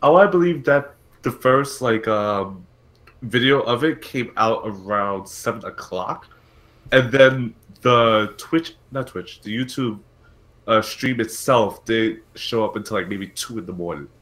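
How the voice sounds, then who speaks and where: A man's voice: lowish voice, a little slow, fairly bright tone, low-quality recording. A man hosting a casual gaming talk show, thinking aloud and correcting himself in a conversational way. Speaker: podcast host.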